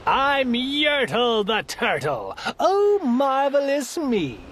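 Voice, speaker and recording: A woman's voice: big pitch swings, slow pace, a little hiss or hum. A woman voicing a boastful, imperious male turtle king in a rhyming storybook. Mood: exultant. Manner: imperious, booming exclamations.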